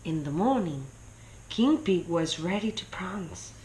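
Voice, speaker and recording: A woman reading a children's picture book aloud in a deliberate, expressive storytelling way. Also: lowish voice, poor audio. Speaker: story reader.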